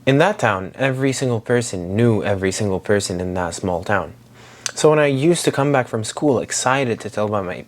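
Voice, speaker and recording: A man in his twenties, originally from Syria, talking reflectively in a personal daily vlog, rambling with many fillers and restarts. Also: fairly low pitch. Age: 20s. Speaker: daily vlogger.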